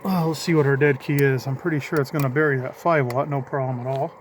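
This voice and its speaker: A middle-aged man giving a casual hands-on demonstration in a folksy, informal way, thinking out loud as he goes. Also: medium pitch, fairly even intonation, fast talker. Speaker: hobbyist vlogger demonstrating a CB radio. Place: in or beside a parked vehicle. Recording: clearly noisy handheld amateur recording.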